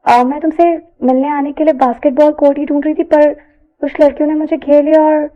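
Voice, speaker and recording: A woman's voice: high voice, level tone, fairly quick, dull audio, voice pushed up, lightly acted. A woman voicing a bashful but spirited young college woman in an audio story, sprinkling in English words. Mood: reassuring. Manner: stammering when flustered, mixes in English words. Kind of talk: audio story character dialogue.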